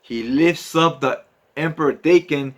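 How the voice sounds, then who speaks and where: A man's voice: fairly high pitch, measured pace. Informal man retelling a comic book. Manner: informal, slangy, conversational. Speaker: host, comic book narrator. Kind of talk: casual storytelling commentary on a comic.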